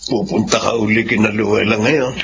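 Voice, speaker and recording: A calm, supportive Filipino father. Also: fairly low pitch, moderate pace, creaky. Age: middle-aged. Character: calm, supportive middle-aged father counselling his son. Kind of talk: Filipino radio drama acting. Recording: muffled, noisy radio drama audio.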